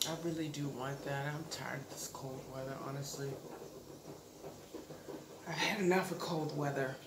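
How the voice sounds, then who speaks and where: A woman's voice: extremely low pitch, steady tone, moderate pace. A woman in her thirties chatting casually to her camera at home, rambling with lots of fillers and restarts. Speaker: vlogger. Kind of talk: casual vlog chat. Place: living room, indoors. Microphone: camera close up. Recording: roomy echo, audible background noise.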